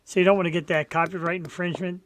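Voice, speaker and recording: Man, high-pitched, dry close sound, laughs a lot, casual show host. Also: level tone, very fast, fairly bright tone, no room sound. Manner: chatty, laughs often, hypes the guest. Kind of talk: casual live show chat.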